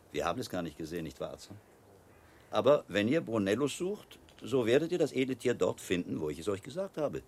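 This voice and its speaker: An actor playing a shrewd, middle-aged learned friar in a radio play, a wry and self-assured mentor who explains things precisely. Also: male voice, on the low side, very animated, fairly quick, vocal fry, a little mellow, exaggerated voice. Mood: amused, confident.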